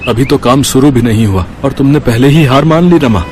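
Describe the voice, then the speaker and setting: Poor village food-seller husband played by a man, plain and earnest, animated moral tale. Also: mid-range voice, fairly quick, noisy background, natural voice. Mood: worried, earnest. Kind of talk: scripted story dialogue.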